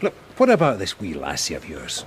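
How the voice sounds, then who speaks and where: A man's voice: sits low, huge pitch swings, brisk, a little hiss or hum. A man playing a blunt, fatherly police sergeant in a radio crime drama, matey and teasing. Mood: matey, reassuring.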